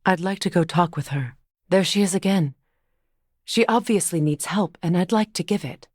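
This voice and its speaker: Ancient goddess, fairly low voice, solemn, resolute, compassionate, formal measured phrasing, video game dialogue, dry studio sound. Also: female voice, slightly rough, no echo. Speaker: video game character voice actor.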